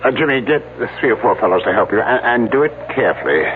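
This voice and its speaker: A man's voice: medium pitch, expressive, fast, narrow, tinny audio, lightly acted. An actor playing an anxious male archaeology professor in a radio drama, hesitant and flustered. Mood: flustered, worried. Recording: noisy, band-limited old radio broadcast.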